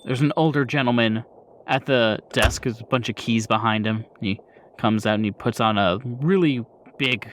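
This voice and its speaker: A man's voice: medium pitch, moderate pace, slight background noise. Male game master narrating a tabletop scene.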